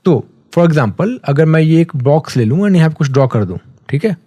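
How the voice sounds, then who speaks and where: A man with an Indian accent giving a casual, conversational software tutorial, explaining step by step and mixing in English terms. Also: a little high, animated, normal speed, no room sound, studio quality. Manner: conversational, mixes English terms, often checks in with a tag phrase.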